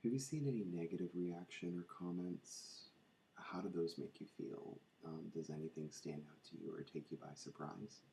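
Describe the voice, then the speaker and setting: A man with a very deep, gravelly, mellow voice asks questions softly and calmly. He stays close to the mic, and a faint hiss sits behind him. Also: moderate pace, rough recording. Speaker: interviewer, ASMR channel host. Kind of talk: soft-spoken interview questions.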